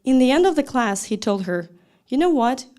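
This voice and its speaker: Lively woman. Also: high voice, normal speed. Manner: lively and chatty, with small laughs and restarts. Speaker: language teacher. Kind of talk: talk to an audience.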